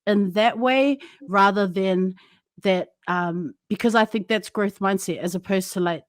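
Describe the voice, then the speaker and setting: A woman hosting a podcast, speaking reflectively and at a measured pace with frequent fillers. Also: mid-range voice, no echo, studio quality. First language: Samoan speaker alongside English. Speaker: podcast host. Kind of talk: casual interview talk.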